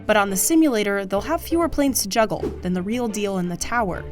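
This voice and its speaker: A woman's voice: medium pitch, animated, fast talker, over music. An American woman reading scripted documentary narration as the narrator of a news feature.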